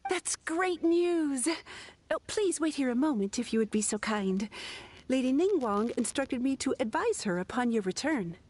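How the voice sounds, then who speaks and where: An actress playing a polite, deferential young attendant in a video game, courteous and eager. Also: female voice, high voice, sing-song, moderate pace, darkish tone. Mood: welcoming. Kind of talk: video game character dialogue.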